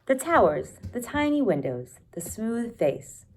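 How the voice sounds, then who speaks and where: A woman teacher reading a children's novel aloud, expressively and deliberately, one phrase at a time. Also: on the high side, big pitch swings, a little mellow.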